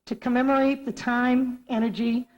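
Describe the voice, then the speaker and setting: A woman's voice: on the high side, level tone, normal speed, muffled recording. A woman music teacher giving a formal ceremony speech in a school auditorium, reading prepared remarks.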